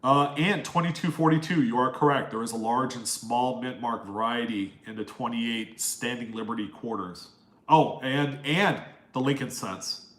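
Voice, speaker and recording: A man's voice: mid-range voice, normal speed, roomy sound, poor audio. Nasal-voiced man hosting a live chat. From East Coast US, Maryland. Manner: rambling and friendly, reading out viewer names. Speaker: live stream host answering chat questions.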